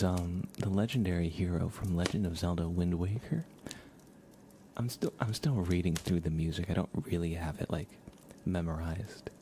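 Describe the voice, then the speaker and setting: A man with a low voice talks casually as a livestream host, thinking aloud in an unscripted way. There is clear background noise in the recording. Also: mellow.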